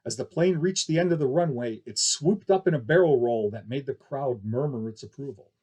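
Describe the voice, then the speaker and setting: A man's voice: medium pitch, a little fast, warm tone, slightly rough, low-quality recording. A man reading a novel aloud as scripted story narration.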